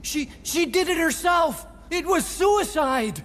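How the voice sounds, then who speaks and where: Very high, desperate whiny scientist. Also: male voice, lively intonation, slow pace, fairly bright tone, heavily acted. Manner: pleading, agitated, defensive. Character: desperate, whiny, self-justifying male scientist. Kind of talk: dramatic video game acting. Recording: long hall reverb.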